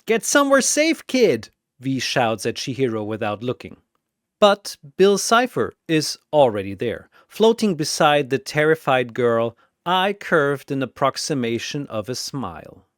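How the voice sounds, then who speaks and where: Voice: medium pitch, big pitch swings, measured pace, no echo, clean studio sound. A narrator delivering dramatic scripted battle storytelling in an emphatic, portentous, announcer-like manner.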